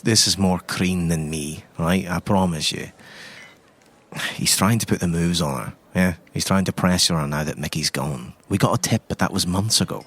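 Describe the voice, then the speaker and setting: A man's voice: deep voice, moderate pace, a bit bright, husky. A man playing a shifty young property schemer in an improvised audio drama, confiding and defensive. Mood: defensive, insistent.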